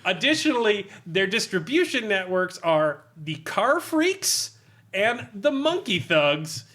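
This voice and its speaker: Male podcast host, high and bright voice, sardonic and amused, casual read-aloud commentary. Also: sing-song, slow. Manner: amused, sardonic, often laughing while talking. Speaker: podcast host reviewing a tabletop game. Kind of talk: humorous casual review, reading aloud with commentary.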